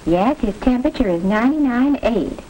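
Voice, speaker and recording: Actress for formal, polite 1940s school office woman, expressive pitch, slightly noisy vintage film audio. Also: a little fast, lightly acted. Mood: polite, helpful. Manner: courteous, formal. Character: polite school staff woman on a telephone call. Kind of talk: 1940s educational film acting.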